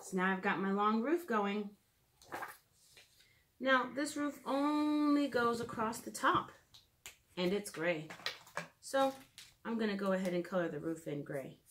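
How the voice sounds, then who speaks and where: A woman in her thirties reading aloud and leading a drawing lesson for preschoolers in a clear, simple storytelling manner, recorded in a room at home. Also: medium pitch, animated, unhurried, pauses often, fairly bright tone, a little hiss or hum. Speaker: children's educator reading and drawing. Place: small room at home, indoors.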